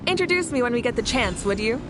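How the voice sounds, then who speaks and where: A woman's voice: on the high side, expressive, fast, music in the background, lightly acted. A woman playing a confident, easygoing young motorbike-riding leader in an anime-style video game, breezy and teasing.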